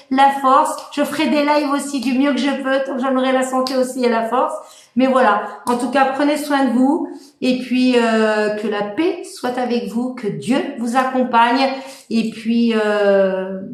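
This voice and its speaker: A woman with a high voice talks warmly and loosely to her viewers, full of fillers and restarts. The sound is roomy and echoey, as if she is streaming from a phone in a reverberant room. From France. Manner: warm, rambling, many fillers and restarts. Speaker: medium hosting a live stream. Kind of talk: casual live-stream chat.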